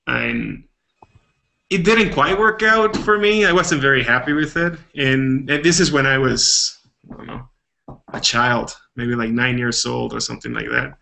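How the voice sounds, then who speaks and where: A man's voice: medium pitch, sing-song, measured pace, creaky, sharp tone. A man from Bolivia, a game developer guest, telling stories in a rambling casual way with many restarts. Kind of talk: casual interview chat.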